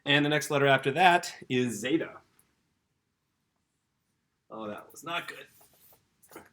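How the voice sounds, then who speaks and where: A middle-aged man teaching a casual video lesson, relaxed and chatty, correcting himself as he writes on a board. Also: mid-range voice, slow pace.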